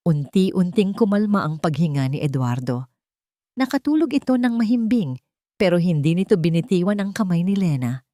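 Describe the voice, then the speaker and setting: A woman narrating a dramatic romance story in a measured, theatrical storytelling manner. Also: on the low side, very animated, mellow, dry recording, studio quality.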